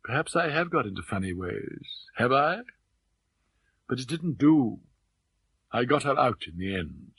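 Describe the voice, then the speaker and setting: A man voicing an eccentric elderly father in an audiobook, mild, plaintive and gently mocking, with British Received Pronunciation. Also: medium pitch, sing-song, measured pace, pauses often, breathy edge, dry recording, exaggerated voice. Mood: slyly menacing.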